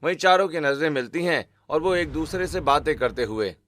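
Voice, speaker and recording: A man's voice: a little high, a little fast, fairly bright tone. A man narrating a scripted family moral story as a storyteller.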